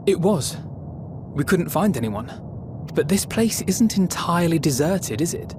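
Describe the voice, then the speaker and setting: A man voicing an earnest, compassionate young hero in a game cutscene, speaking with solemn, formal, deliberate phrasing. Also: fairly high pitch, sing-song, noisy background. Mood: solemn empathy. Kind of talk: scripted video game cutscene acting.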